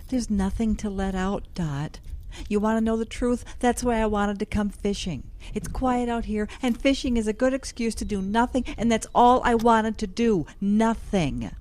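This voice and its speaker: A woman's voice: mid-range voice, normal speed, darkish tone, natural voice. A woman playing a weary small-town woman in an audio drama, curt, dry and easily exasperated. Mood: subdued, weary. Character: terse, weary, no-nonsense small-town woman, newly divorced.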